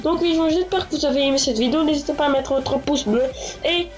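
A woman's voice: sits high, fast talker. Stuffy-nosed woman rambling over gameplay. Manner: rambling, many fillers and restarts. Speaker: gaming channel host. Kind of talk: casual gameplay commentary. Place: small echoing bedroom, lying down. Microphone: handheld console camera. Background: game music.

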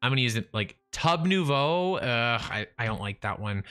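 A man's voice: medium pitch, lively intonation, moderate pace, pushed and bright, faint music behind. A young man livestreaming a building game, talking to himself and chat, with a voice he says is going. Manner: chatty, self-talking, restarts and trails off. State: losing his voice. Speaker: livestreaming gamer. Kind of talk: casual live-stream chat while building. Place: small room at home. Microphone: studio mic in front of face.

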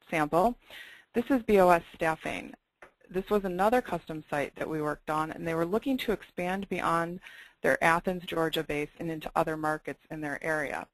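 A webinar presenter talks an audience through a series of slides, explaining each point plainly and now and then stumbling over a filler. The voice carries a gravelly, creaky edge, and it sounds dry and close, with no room around it. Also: mid-range voice, dry recording, rough recording. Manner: clear, explanatory, occasional fillers. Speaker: web design presenter.

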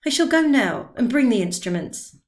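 Woman reading as a giddy tipsy old man, raised high voice, loud, pushy, storybook telling. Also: sing-song, moderate pace. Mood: eager. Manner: eager, pleading, high and loud. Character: excitable drunken old man, a painter's friend.

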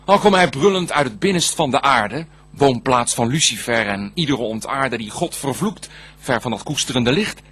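An actor playing a proud, martial archangel, declaiming heroic verse in a radio play. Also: male voice, medium pitch, expressive, moderate pace, a bit bright, husky. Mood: proud, defiant.

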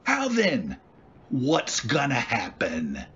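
An actor playing a blunt, wary working-class cab driver in an old-time radio drama style. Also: male voice, mid-range voice, huge pitch swings, slow, a bit bright, dull audio, slight background noise, exaggerated voice. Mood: alarmed. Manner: blunt, colloquial, incredulous.

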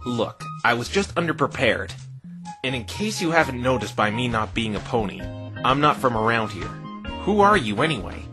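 A woman's voice: rumbling low, sing-song, normal speed, music in the background. A woman voicing an earnest, easily flustered young male defense lawyer in a visual novel dub, stammering at times. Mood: defensive. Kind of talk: visual novel fandub acting.

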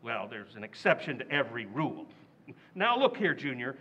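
A man acting a loud, indignant, stingy working-class father in an old-time radio comedy recreation. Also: medium pitch, very animated, normal speed, slightly rough, dull audio, heavily acted. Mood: blustering. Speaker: radio actor.

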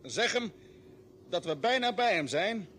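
An actor playing a calm, decisive male expedition leader in a vintage Dutch radio play, giving brisk orders with authority. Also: sits high, full character voice. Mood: businesslike. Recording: old radio recording with faint noise.

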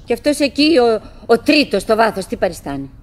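A fretful middle-aged wife in a radio play, with a fairly high voice. She muses in halting phrases to herself and her husband, clinging to good cheer with theatrical care. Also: big pitch swings. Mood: shocked, disgusted. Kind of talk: theatrical radio drama monologue.

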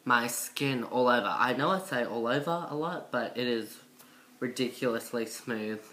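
A young woman with a low, masculine-sounding voice and a slight cold, chatting casually to camera in a rambling, self-conscious way. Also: moderate pace, fairly bright tone. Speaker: vlogger. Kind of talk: casual chat to camera, transition update. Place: indoor room by a window. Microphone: built-in device mic at arm's length.

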